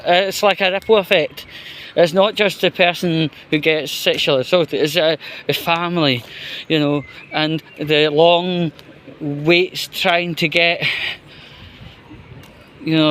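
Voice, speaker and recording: A Scottish man giving unscripted solo vlog commentary in a halting, hesitant, rambling way. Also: on the high side, a bit bright, a little hiss or hum. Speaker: vlogger.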